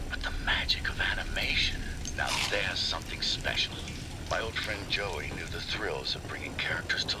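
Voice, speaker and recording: An actor playing an ambitious, self-assured middle-aged male tycoon, theatrical, on a noisy audio log in a video game. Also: full character voice. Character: ambitious, grandiose male tycoon dreaming of showbusiness. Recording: very noisy, rumbling vintage recording.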